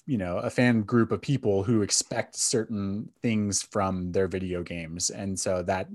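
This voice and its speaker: Guy w a lowish voice co-hosting a podcast, casual opinionated movie chat, dry close sound. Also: fairly even intonation, unhurried, darkish tone, dry recording. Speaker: podcast co-host. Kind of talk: casual film discussion.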